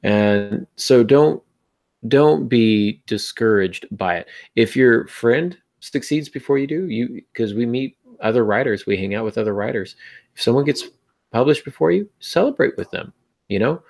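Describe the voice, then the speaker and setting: A man's voice: medium pitch, expressive, normal speed, creaky, a little mellow. A man hosting a podcast, talking conversationally and encouragingly as he gives advice straight to the listener. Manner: encouraging, addresses listener directly. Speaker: podcast host.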